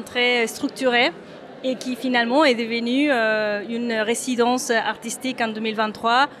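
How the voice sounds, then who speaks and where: A hesitant woman from Mexico with a somewhat high voice answers an interviewer's questions about her work. She restarts her sentences often and fills pauses with "uh" as she searches for words. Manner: hesitant, many fillers and restarts. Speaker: photographer. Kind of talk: interview at an exhibition opening.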